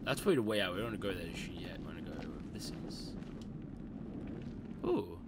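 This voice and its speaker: A young man streaming a game, excitable and cursing, jumping between loud outbursts and quiet mutters. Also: low-pitched, brisk, gravelly, heavy background noise. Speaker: gaming streamer. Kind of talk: let's play commentary.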